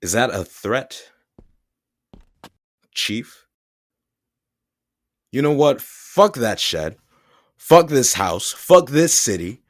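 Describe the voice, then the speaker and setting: An actor playing a resentful young adult son who is sullen, sarcastic and foul-mouthed. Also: male voice, medium pitch, very animated, very slow, long pauses, no echo, studio quality. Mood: angry. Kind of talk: audio drama acting.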